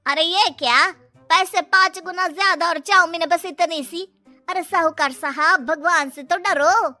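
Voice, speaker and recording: A woman voicing chatty, excitable village customers in an animated moral story, with an exclaiming delivery. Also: extremely high pitch, measured pace, dry recording, soft background music, pitched above the performer's own voice, exaggerated voice. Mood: indignant protest.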